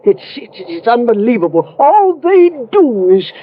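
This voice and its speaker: Exasperated, high-voiced old newspaper editor. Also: male voice, big pitch swings, unhurried, no echo, exaggerated voice. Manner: agitated, sputtering, indignant. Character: exasperated, suspicious older male small-town newspaper editor. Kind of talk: 1950s radio drama acting. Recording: dull vintage radio recording.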